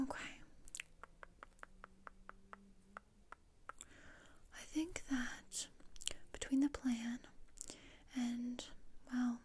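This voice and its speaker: A woman playing a morbid, bossy gothic teenage girl in a breathy, deadpan ASMR roleplay whisper, close to the microphone. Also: on the high side, natural voice. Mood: condescending. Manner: deadpan, dry, matter-of-fact. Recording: close-up with clear noise and clicks.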